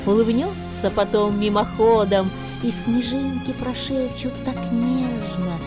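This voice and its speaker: An actress playing a gentle storyteller of a magical winter night in a radio play, reciting verse slowly over music. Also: female voice, on the high side, close to an everyday voice. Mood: tender, festive.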